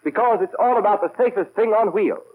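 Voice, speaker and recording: A man's voice: sits high, a little fast. A male announcer delivering scripted copy in a formal, declamatory 1930s radio style. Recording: thin, tinny 1930s radio recording.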